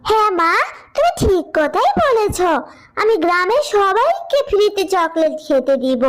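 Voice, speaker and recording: A woman's voice: very high-pitched, sing-song, measured pace, full character voice. A woman voicing a sweet, childlike village girl in a cartoon, whiny and eager, with a pleading manner. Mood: excited. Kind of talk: cartoon voice acting.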